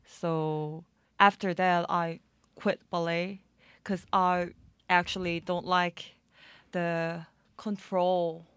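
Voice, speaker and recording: A young woman with a Korean accent, a guest in an interview, speaking halting English with many fillers. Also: lowish voice, little pitch movement, clear, resonant tone, no room sound. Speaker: guest musician and DJ. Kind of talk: casual interview.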